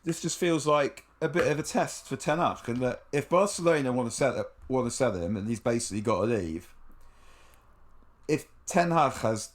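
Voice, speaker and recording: A man co-hosting a podcast who chats casually about football in an animated way, with chuckles and quick asides. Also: mid-range voice. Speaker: podcast co-host.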